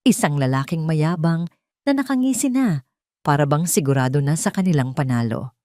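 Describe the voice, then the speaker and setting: A woman's voice: low-pitched, animated, normal speed, dark tone, dry recording, studio quality. A woman reading a scripted dramatic story as narrator.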